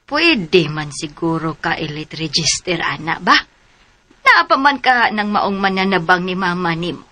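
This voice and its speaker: An actress playing a practical, helpful aunt with a questioning, matter-of-fact manner in a radio drama. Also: female voice, on the low side, big pitch swings, unhurried, bright, forceful tone, exaggerated voice. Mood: questioning, dismayed. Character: practical, helpful older female relative of a schoolgirl.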